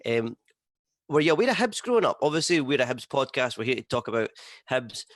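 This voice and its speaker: A Scottish man presents a podcast and puts questions to a guest in an informal way. His voice sits at an average pitch, and the sound is dry with very little room. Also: big pitch swings, a little fast, no echo. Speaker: podcast host. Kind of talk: scripted intro and casual interview questions.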